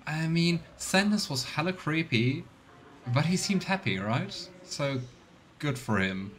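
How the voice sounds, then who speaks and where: A man's voice: on the high side, animated, unhurried, a bit bright, a little hiss or hum. A man giving casual, musing let's play commentary on a role-playing game, with a wry, sardonic manner. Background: game music.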